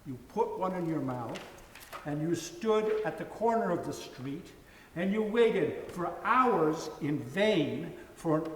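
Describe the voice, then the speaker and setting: A man reading a story slowly and deliberately. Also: on the high side, a little hiss or hum. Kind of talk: dramatic reading of a literary story. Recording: distant mic in an echoey hall.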